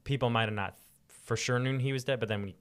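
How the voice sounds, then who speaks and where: A man's voice: medium pitch, fairly even intonation, fast talker, fairly bright tone, clear, resonant tone. A young man co-hosting a podcast, chatting about Bible stories in an informal, slangy, enthusiastic way. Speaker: podcast co-host.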